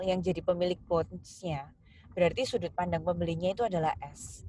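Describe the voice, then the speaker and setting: A woman's voice: medium pitch, a little mellow, rough recording. A woman with an Indonesian accent lecturing in an explanatory tutorial style, going step by step and addressing her students directly. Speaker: accounting lecturer.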